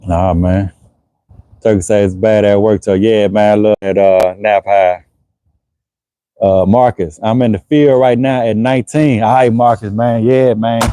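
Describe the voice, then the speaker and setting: A man in his forties talks in a relaxed, conversational way, answering viewers' comments during a livestream. His voice is mellow and unhurried, recorded close and dry. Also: fairly low pitch, clear, resonant tone, no echo. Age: 40s. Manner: laid-back, conversational, frequent "you know what I'm saying". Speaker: HVAC business owner hosting a livestream. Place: Houston area.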